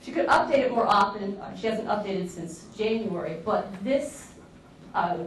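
A woman giving a lively, emphatic conference talk with slides in a large lecture hall, heard from a distant camera. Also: medium pitch. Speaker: conference presenter. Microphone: far room camera. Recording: echoey hall from far off, faint hiss.

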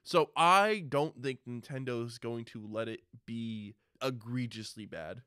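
A man's voice: mid-range voice, a little slow, bright, forceful tone, smooth, no room sound, studio quality. A man co-hosting a casual, opinionated discussion, stretching words for emphasis. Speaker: podcast co-host.

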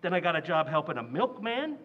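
A man's voice: on the high side, sing-song, fairly quick, lo-fi sound. A man playing a blustering, penny-pinching sitcom dad. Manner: loud, blustering, indignant. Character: blustering stingy working-class dad. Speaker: radio actor. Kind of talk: old-time radio comedy acting.

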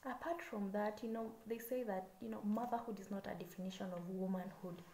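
A woman's voice: mid-range voice, fairly even intonation, a little fast, mellow. A woman in her twenties with a Kenyan accent, introducing herself in a casual vlog, chatty and a little nervous, with many fillers. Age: 20s. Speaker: vlogger. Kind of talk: casual self-introduction to camera. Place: small room indoors. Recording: echoey room with faint background hiss.

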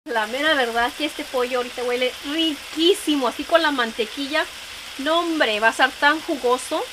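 A woman with a Mexican Spanish accent chatting enthusiastically as she cooks in a home kitchen, filmed over the stove. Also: high-pitched. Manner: chatty, enthusiastic about food. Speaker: cooking vlogger. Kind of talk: casual cooking narration. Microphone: camera filming hands at the stove. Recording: kitchen noise. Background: frying and sizzling.

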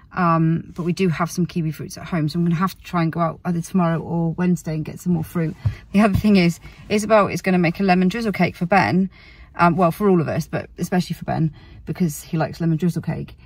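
Low, husky British woman, rambling. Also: a little mellow, far from the mic. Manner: rambling, word-searching, self-correcting. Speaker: family vlogger. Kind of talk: casual chat to camera. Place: inside a parked car.

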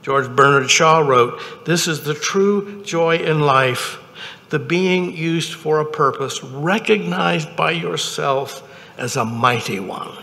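A man in his seventies, a chaplain, delivering a sermon from notes in a measured, deliberate way with pauses between phrases. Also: a little high, vocal fry, sharp tone. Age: 70s. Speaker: chaplain preaching. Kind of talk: sermon, read from notes at a lectern. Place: indoor chapel, at a wooden lectern. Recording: reverberant chapel hall.

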